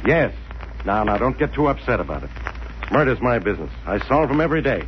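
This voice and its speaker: An American actor playing a cocky, sarcastic police inspector who blusters and wisecracks in 1940s radio style. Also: male voice, mid-range voice, big pitch swings, quick pace, close to an everyday voice. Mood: smug, bossy. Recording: muffled, noisy old radio recording.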